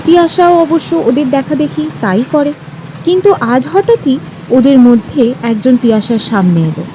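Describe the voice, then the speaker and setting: High-voiced young woman narrating a story. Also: animated, normal speed. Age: young adult. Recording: noisy street ambience behind.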